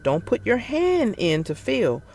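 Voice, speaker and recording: A narrator reading a children's story aloud in an expressive, theatrical and deliberate way. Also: medium pitch, gravelly. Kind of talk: expressive scripted read-aloud for young children.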